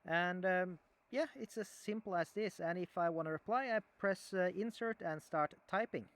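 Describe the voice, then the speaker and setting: A man giving a casual, unscripted equipment demonstration as a hobbyist, explaining things in a halting, conversational way. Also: sits high, a little hiss or hum.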